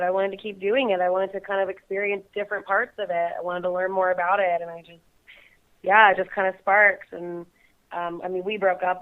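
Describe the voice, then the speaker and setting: Giggly woman on a tinny phone line. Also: mid-range voice. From Bay Area, California. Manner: giggly, laughs often between phrases. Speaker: guest. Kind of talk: casual phone interview. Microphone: phone call.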